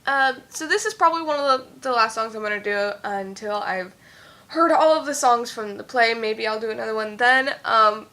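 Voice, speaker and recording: A young woman chatting at home in a rambling vlog, full of fillers, her voice a little hoarse. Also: fairly high pitch, moderate pace, pushed and bright. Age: young adult. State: slightly hoarse, losing her voice. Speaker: YouTube singer. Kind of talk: casual vlog chat.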